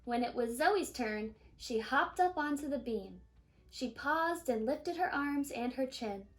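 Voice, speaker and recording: A woman reading a children's picture book aloud in an expressive storytelling manner, stretching key words. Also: sits high, a little slow, soft background music. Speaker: storybook reader.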